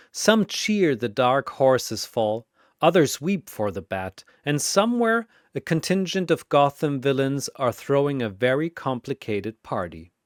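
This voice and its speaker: A storytelling narrator reads a dramatic scripted battle tale at a slow pace. The delivery is animated in pitch, and the sound is close and dry. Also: dry recording.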